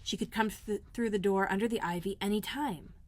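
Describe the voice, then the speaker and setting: A woman's voice: medium pitch, fairly quick, darkish tone. Woman reading aloud a children's storybook. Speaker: elementary school librarian, host.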